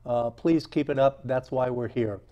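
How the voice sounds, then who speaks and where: A man's voice: mid-range voice, warm tone, lo-fi sound. Chatty, eager man hosting an interview. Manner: halting, many fillers and restarts; enthusiastic, chuckles often. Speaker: talk show host. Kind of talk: casual interview chat.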